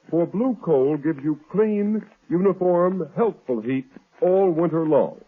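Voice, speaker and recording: A man's voice: on the high side, husky. A man announcing a 1930s radio show and reading an advertisement in an emphatic, persuasive way. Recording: tinny old radio broadcast recording.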